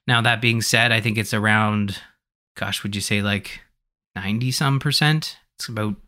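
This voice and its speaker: A man hosting a podcast in casual conversation, talking in an animated, rambling way as he thinks aloud. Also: fairly low pitch, normal speed. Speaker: podcast host.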